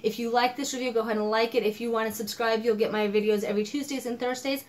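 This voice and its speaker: A young woman with a fairly high voice talks through a book review at her home desk, animated and a little exasperated. She's coming down with a cold, so her voice sounds slightly hoarse and squeaky. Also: fast talker. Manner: animated, exasperated, gestures a lot. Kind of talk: casual vlog book review. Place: home office with desk and monitors.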